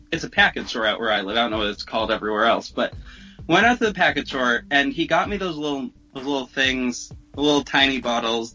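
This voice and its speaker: A man telling a personal story as a podcast guest in a casual, animated, profane, slangy way. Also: medium pitch, highs cut off.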